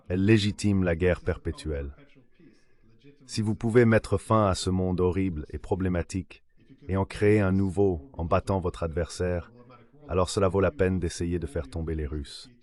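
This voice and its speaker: A man delivering a translated voice-over of a political discussion in a measured, scripted-sounding way. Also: deep voice, fairly even intonation, a little mellow.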